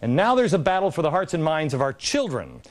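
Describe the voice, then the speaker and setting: Male TV host, 40s, sarcastic, indignant, lively pitch, studio. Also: fast talker. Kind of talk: opinion TV commentary. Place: large TV studio set. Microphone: studio mic, standing at camera.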